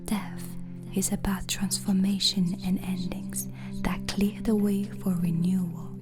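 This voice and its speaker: A gentle witch, acted by a woman, whispers in a breathy, soothing voice for an ASMR roleplay. The delivery is slow and deliberate, welcoming a guest with care. Music plays clearly behind the whisper. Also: medium pitch, flat delivery, lightly acted. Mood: calm, instructive. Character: gentle, hospitable witch hosting a guest overnight.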